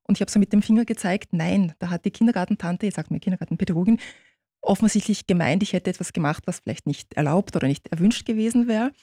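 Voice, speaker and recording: A woman from Turkey, a native Turkish speaker, is a guest author on a radio show. She explains things in a friendly, patient way and often confirms with a short agreeing word. Her voice is mellow and warm, recorded dry and close on a studio mic. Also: lowish voice, fast, breathy edge, dry recording. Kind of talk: radio interview.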